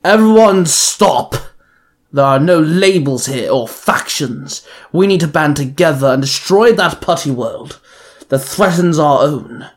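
A man's voice: fairly high pitch, a little slow, a little mellow, lightly acted. A man voicing Mister Pocket, a commanding male boss of a mutant crew who speaks in firm, rallying speeches. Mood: urgent.

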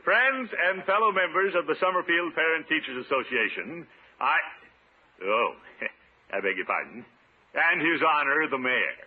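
A pompous middle-aged official of old radio comedy, self-satisfied and theatrical. His fairly high voice swoops up and down, heard through a thin, noisy vintage broadcast. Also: big pitch swings, a little slow. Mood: pompous oratory. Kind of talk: 1950s radio situation comedy acting. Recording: noisy, narrow-band 1950s radio recording.

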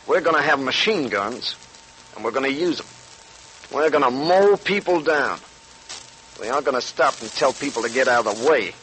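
A man's voice: medium pitch, animated, moderate pace, long pauses, a bit bright, natural voice. A man playing a swaggering, boastful gangster bank robber in a 1940s radio crime drama, talking in emphatic tough-guy slang. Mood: scheming, boastful. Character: cocky, swaggering male gangster bank robber craving money and nightlife. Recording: noisy vintage 1940s radio recording.

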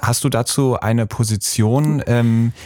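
A man from Germany hosting an interview, asking curious, measured questions. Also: mid-range voice, fairly even intonation, darkish tone, no room sound, clean studio sound. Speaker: podcast host. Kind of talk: interview conversation.

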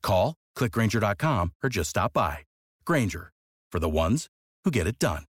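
Male American commercial narrator, assured, lively inflection, dry studio sound. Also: on the low side, moderate pace, breathy edge, dry recording. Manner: assured, promotional. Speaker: advertising voice-over. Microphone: close studio microphone.

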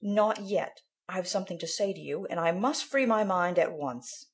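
A woman's voice: lowish voice, normal speed, close to an everyday voice. A woman voicing an imperious, stern old aunt in a storybook reading, insistent and lecturing. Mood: stern, scandalized.

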